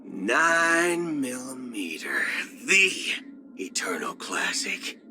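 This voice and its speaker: Drawling, swooping cyborg cowboy gunslinger. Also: male voice, mid-range voice, very animated, extremely slow. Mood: wry, folksy. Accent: Southern American cowboy drawl. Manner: cocky, folksy, drawling. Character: swaggering cyborg cowboy gunslinger outlaw, adult male. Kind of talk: video game character voice lines. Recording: music under the voice. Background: background music.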